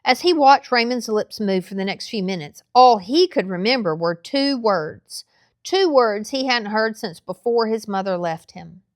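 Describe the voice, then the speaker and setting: A woman narrating a children's novel aloud in a measured, expressive storytelling style. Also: on the high side, no echo, studio quality.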